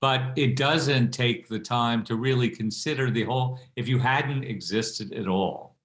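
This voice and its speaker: A man speaking casually as a guest in a panel discussion, haltingly thinking aloud. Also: medium pitch, a bit bright. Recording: roomy, echoey sound.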